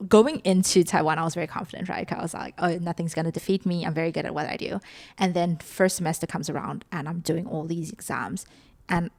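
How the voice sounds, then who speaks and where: A woman's voice: on the low side, vocal fry, darkish tone, no room sound. A young woman from South Africa co-hosting a podcast, chatting casually and often ending points with "right?". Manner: chatty, filler-heavy, ends points with "right?". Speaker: podcast co-host. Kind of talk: casual chat.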